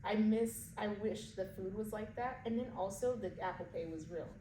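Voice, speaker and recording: A young woman in a relaxed casual chat, talking in loose phrases full of "like". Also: medium pitch, moderate pace. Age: young adult. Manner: relaxed, talks in loose run-on phrases with many "like"s. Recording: echoey room, distant mic, clear background noise.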